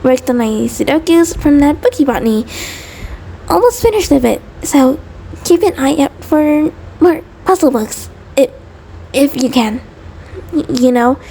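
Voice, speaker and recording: A woman plays a sweet, neurotic centipede partner in an intimate audio drama. The voice is high and soft-toned, unhurried yet nervous, full of hesitations and stammers as it rambles devotedly. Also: creaky, slight background noise, exaggerated voice. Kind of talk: intimate scripted audio roleplay, ASMR-like.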